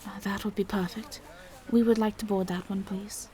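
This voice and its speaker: Soft, even-toned gentle vampire woman played by an actress. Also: medium pitch, moderate pace, close to an everyday voice. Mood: polite. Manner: soft-spoken, polite, hesitant. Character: gentle, courteous female vampire traveling with an injured knight. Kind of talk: roleplay audio drama addressed to the listener.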